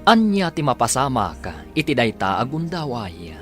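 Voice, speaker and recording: A man's voice: medium pitch, very animated, moderate pace, loud music behind the voice. A man narrating and announcing an Ilocano radio drama serial in a scripted, dramatic style.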